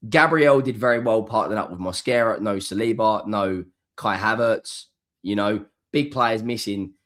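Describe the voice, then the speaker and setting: A fairly low-pitched man, hoarse and a bit rough because he is coming down with flu. He gives his solo post-match reaction to the camera, hammering points home and repeating words for stress. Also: fairly bright tone. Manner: emphatic, repeats key words. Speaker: football channel host.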